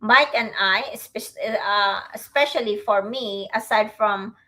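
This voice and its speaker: A middle-aged woman with a Filipino accent hosting a livestream, talking to viewers in a reflective, halting way. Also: mid-range voice, bright, forceful tone. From Philippines. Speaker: host, online business owner.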